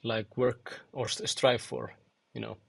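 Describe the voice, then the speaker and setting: A young man from Iceland and Angola answering interview questions thoughtfully, with many fillers and pauses. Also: mid-range voice, little pitch movement, vocal fry, a crowd in the background. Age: young adult. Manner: hesitant, many fillers and restarts. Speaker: podcast guest, musician and designer.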